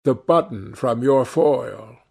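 Male reader as languid aristocrat, cynical wit, very slow drawl, provocative, audiobook character. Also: mid-range voice, gravelly, darkish tone, breathy edge, heavily acted. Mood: languid, teasing.

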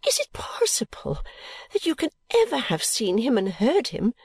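Actress as gentle young woman, classic novel dialogue: sincere, pleading, mildly reproving, very dry recording. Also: on the high side, normal speed, no room sound, close to an everyday voice. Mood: remonstrating.

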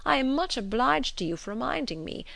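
A woman voicing a fretful elderly gentleman, an anxious invalid father who fusses and pleads gently, in an audiobook. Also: high voice, big pitch swings, brisk, vocal fry, no room sound, voice pushed up, full character voice. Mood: apologetic.

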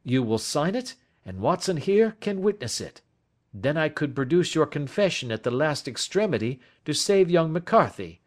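A man voicing a calm, authoritative detective in an audiobook, crisp and deliberate, gently grave in manner. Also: fairly high pitch, voice pushed up. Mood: businesslike. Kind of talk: audiobook character acting.

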